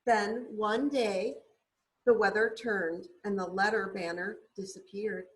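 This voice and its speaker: A woman reading a children's story aloud expressively, with deliberate, drawn-out emphasis for young listeners. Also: medium pitch, fairly even intonation, poor audio. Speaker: storybook reader.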